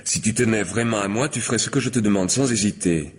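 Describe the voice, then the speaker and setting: An actor playing a smooth, manipulative lover in a radio mystery, coaxing and insistent, slipping into commands. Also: male voice, on the low side, quick pace, close to an everyday voice. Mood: reproachful. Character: smooth, manipulative male lover greedy for valuables. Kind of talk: theatrical French radio mystery acting.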